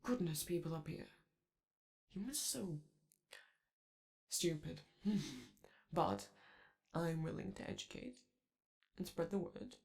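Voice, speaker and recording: Guy playing a glam male robot diva, sing-songy swoopy voice, gravelly edges, keeps saying darling. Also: a little high, expressive, slow, long pauses, heavily acted. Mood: coaxing, flirty. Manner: theatrical, coaxing, calls listener darling. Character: flamboyant glamorous male robot star, doting. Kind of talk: ASMR romantic roleplay.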